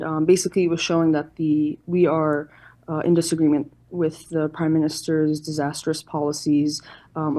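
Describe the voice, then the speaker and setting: A woman's voice: deep voice, mellow. A woman answering interview questions as an organization's representative in an earnest, explanatory, formal way. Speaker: youth organization chairperson, interview guest.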